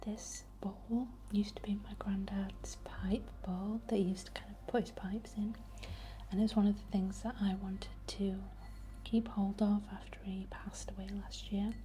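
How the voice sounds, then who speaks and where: A woman whispering breathily and gently as an ASMR host, chatting and guiding a healing ritual in an unhurried way. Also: medium pitch, little pitch movement, slight background noise.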